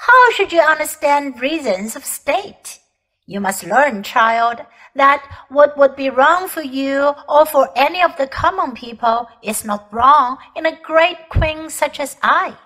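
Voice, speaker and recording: A woman's voice: high-pitched, expressive, a little slow, bright, forceful tone, heavily acted, low-quality recording. A woman reading aloud, voicing a proud, ruthless ancient queen who declaims grandly and looks down on common people. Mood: scornful. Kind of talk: audiobook character reading.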